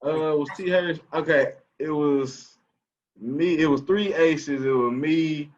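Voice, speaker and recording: A man's voice: a little high, takes it slowly. A man in his twenties with a Memphis Southern accent, chatting casually and supportively as a podcast guest over a call. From Memphis, United States. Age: 20s. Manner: agreeable, supportive, slangy. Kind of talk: casual chat. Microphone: remote call. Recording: dull lo-fi call audio.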